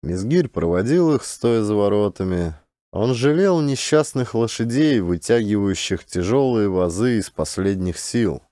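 A man's voice: on the low side, lively intonation, measured pace, warm tone, dry recording, studio quality. A man reading a classic literary story as scripted audiobook narration.

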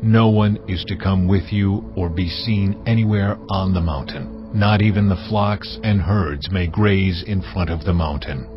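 An actor playing God in a dramatized audio Bible, speaking in a slow, measured, commanding way. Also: male voice, low voice. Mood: solemn. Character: God, deep solemn voice of the Lord. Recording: slightly dull audio with music bed.

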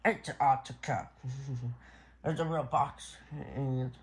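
A man improvising bedtime stories in a halting, rambling, playful way. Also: mid-range voice, steady tone. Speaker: storyteller. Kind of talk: improvised bedtime storytelling with toys.

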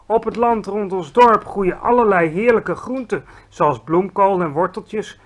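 A man's voice: high voice, unhurried. A man voicing a small, sensible young girl who speaks plainly and earnestly in a storybook reading.

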